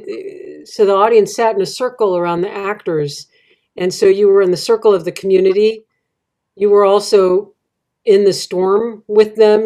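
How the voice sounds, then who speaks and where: Mellow-voiced woman speaking haltingly. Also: mid-range voice. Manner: halting, searching for words, many fillers. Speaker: community member and panelist. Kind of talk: casual panel discussion.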